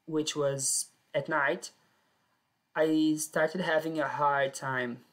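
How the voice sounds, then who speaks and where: A woman telling her own life story in plain, simple sentences with short pauses. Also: low voice. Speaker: personal storyteller. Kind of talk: first-person life story.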